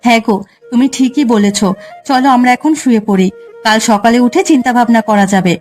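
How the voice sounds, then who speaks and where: Lady doing a caring village wife for a cartoon, really high voice, earnest, soft music behind. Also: fairly quick, lightly acted. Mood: concerned. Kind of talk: Bengali cartoon story voice acting.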